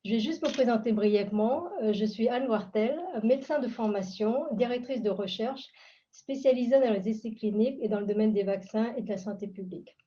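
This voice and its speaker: A woman giving a measured, formal prepared introduction as moderator on a video call. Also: on the high side, mellow. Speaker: candidate and event moderator. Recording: muffled lo-fi video call audio.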